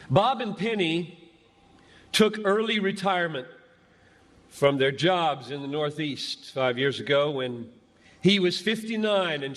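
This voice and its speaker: A man's voice: a little high, a bit bright. A man preaching an impassioned sermon, pleading and emphatic, hammering phrases through dramatic repetition. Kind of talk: impassioned sermon to a large gathering. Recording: echoey large hall with long reverb.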